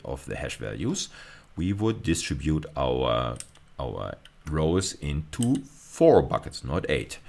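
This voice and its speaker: A man's voice: extremely low pitch, vocal fry, darkish tone. A middle-aged man giving an explanatory recorded lecture, working methodically step by step and repeating key phrases for emphasis. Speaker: university lecturer.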